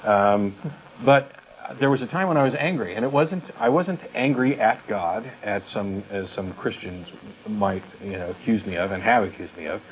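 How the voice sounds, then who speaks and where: A man, in his thirties or older, talks conversationally as a show host. His voice sits fairly low, and there is clear noise in the recording. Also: moderate pace, low-quality recording. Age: 30s or older. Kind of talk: conversational talk.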